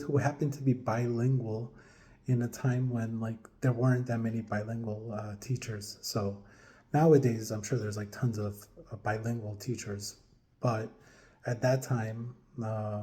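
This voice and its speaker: A man in his forties from upstate New York, telling his own story to camera in a hesitant, casual way with many pauses and fillers. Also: mid-range voice, warm tone. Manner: hesitant, many ums and restarts, chuckles now and then. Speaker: vlogger.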